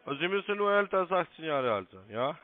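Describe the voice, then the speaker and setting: A man's voice: fairly high pitch, big pitch swings, fast talker. A man in his thirties working as a call-centre agent, politely and formally giving step-by-step instructions. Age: 30s. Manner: formal, polite, spells things out. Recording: narrow phone-line sound.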